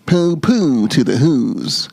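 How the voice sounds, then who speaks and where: A sly, grumpy storybook monster voiced by a man, gravelly and smug. He draws out his words with mock sweetness and swings his pitch as he schemes. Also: sing-song, takes it slowly, darkish tone, dry recording, heavily acted. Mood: gloating.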